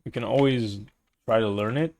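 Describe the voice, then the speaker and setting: A man's voice: mid-range voice, normal speed, a little mellow. A young man, a native Portuguese speaker with a Portuguese accent, chatting casually with stream viewers, rambling, searching for words and laughing often.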